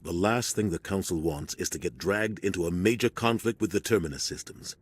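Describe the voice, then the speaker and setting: An actor playing a serious male starship captain giving a steady, earnest briefing in a video game cutscene. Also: sits low, fairly quick, husky.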